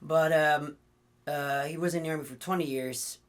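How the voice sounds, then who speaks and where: A man's voice: on the high side, steady tone, normal speed. A man with a Hungarian accent, hosting a podcast and telling rambling stories in casual chat. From United States, raised partly in Hungary. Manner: chatty, rambling storyteller with restarts.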